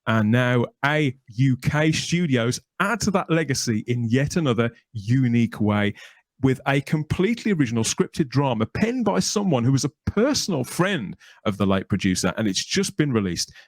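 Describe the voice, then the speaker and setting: A man's voice: medium pitch, big pitch swings, moderate pace, dry recording. Male podcast host, close dry sound. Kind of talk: podcast introduction and interview.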